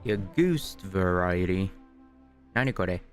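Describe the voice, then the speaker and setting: A woman's voice: rumbling low, expressive, measured pace, soft background music. A woman streaming a game, reacting in brief, offhand casual chat. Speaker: gameplay streamer reacting to story dialogue.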